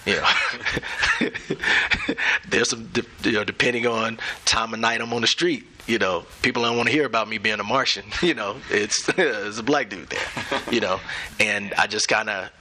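Man, middle-aged, guest speaker, live stage talk, measured, sharp bright tone. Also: lowish voice. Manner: thoughtful, measured, with light laughs. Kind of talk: live onstage conversation. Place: event center stage.

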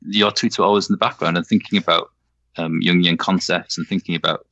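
A man with a Welsh accent softened toward English, talking casually as a novelist guest, hesitant with many fillers and restarts. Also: sits low, steady tone, fast, no echo, dull audio. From Wales. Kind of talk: casual conversation.

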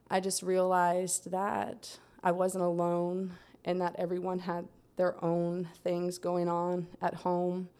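A young woman from Central Florida, slightly low in pitch, talks through her personal story at an even pace. She speaks softly and stops now and then to collect her thoughts. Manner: soft-spoken, steady, with frequent "um" pauses. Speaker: guest sharing her life story. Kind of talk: personal testimony in a conversation.

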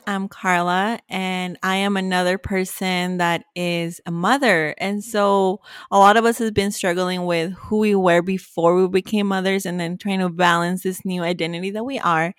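Kobieta z Meksyku o suchym brzmieniu. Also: female voice, medium pitch, clear, resonant tone, dry recording, clean studio sound. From Mexico. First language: Spanish. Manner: warm, chatty, self-joking. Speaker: podcast co-host. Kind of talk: casual podcast chat.

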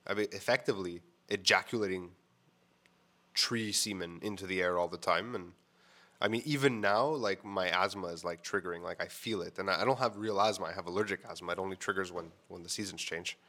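A man with a fairly low voice chats to camera in a loose, frank vlog style, peppering his talk with fillers and the odd swear word. His voice sounds a little stuffed up and congested. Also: brisk, sharp tone. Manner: chatty, frank, swears casually, many "like" fillers. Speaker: vlogger. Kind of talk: casual storytelling to camera. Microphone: mic on camera setup.

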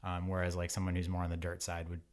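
A man's voice: sits low, monotone, fast. A man in his forties talking casually as an interview guest, in an informal, rambling way. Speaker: podcast guest, bike wheel company owner.

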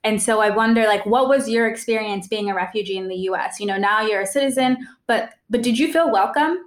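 A woman's voice: mid-range voice, brisk. A woman hosting a podcast, reading a scripted introduction and asking curious, conversational questions. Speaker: podcast host.

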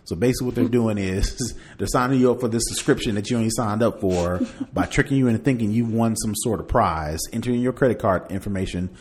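A man's voice: lowish voice, fast talker, hardly any pauses, a little hiss or hum. A man hosting a podcast, chatting casually through tech news and partly reading aloud, in a conversational way. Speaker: podcast host.

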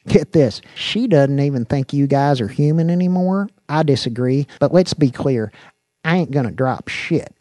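Guy putting on a sly backwoods moonshiner, american country accent, cocky drawl, higher voice, dry recording. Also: moderate pace, a little mellow, no echo, natural voice. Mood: cocky, defiant. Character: scruffy male country moonshiner, cocky, folksy, unfazed.

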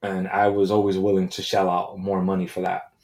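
A man with a fairly low voice rants in a loose, unscripted way. He swears constantly and mixes sarcasm with mock outrage, and his talk is full of fillers and restarts. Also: monotone, a little fast, a little mellow. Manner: crude, sarcastic, profane. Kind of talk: casual solo rant.

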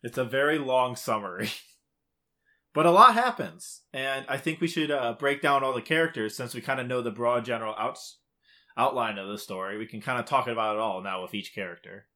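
A man's voice: mid-range voice, animated, brisk, sharp tone. A man co-hosting a podcast, casually recapping a game's story in emphatic, short clipped phrases. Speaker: podcast co-host. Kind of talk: casual video game plot recap.

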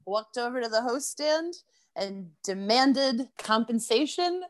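A woman's voice: a little high, lively intonation, slow, no echo. A woman guest on a podcast, a bartender, telling stories animatedly with frequent laughter and sarcasm. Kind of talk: casual chat.

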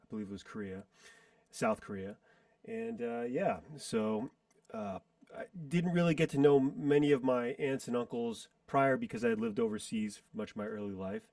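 A man in his forties, a musician guest in a casual interview, reminiscing in a rambling way with pauses to recall details. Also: medium pitch, lively intonation, darkish tone, dry recording. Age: 40s. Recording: distant dry mic.

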